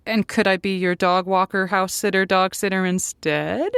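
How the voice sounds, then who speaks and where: A woman's voice: mid-range voice, little pitch movement, normal speed, a bit bright, clean tone, no room sound, clean studio sound, natural voice. A woman playing a thoughtful, sympathetic host of a conversational call-in advice show, with a hesitant, stammering delivery. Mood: amiable, thoughtful.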